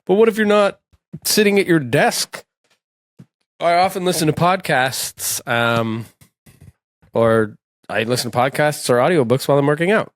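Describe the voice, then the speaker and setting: A man's voice: on the high side, moderate pace, pauses often, no echo, studio quality. A man co-hosting a podcast, chatting casually with profane, playful teasing. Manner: profane, joking, playfully mocking. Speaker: podcast co-host. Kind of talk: casual chat.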